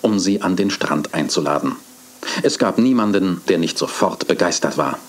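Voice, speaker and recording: A man's voice: low-pitched, expressive, moderate pace, a little hiss or hum. A man narrating a 1980s German children's audio drama in a scripted storytelling manner.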